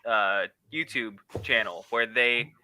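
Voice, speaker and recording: A man with a very bright, forward voice and crisp, clear tone co-hosts a casual podcast. His delivery is explanatory and somewhat halting. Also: mid-range voice, low-quality recording. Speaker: talk show co-host.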